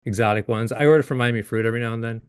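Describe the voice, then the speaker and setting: A man in his late thirties, a guest in a casual interview, talking in a halting way with frequent restarts and easy laughs. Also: medium pitch, clean tone, no echo, clean studio sound. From Upstate New York. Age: 30s.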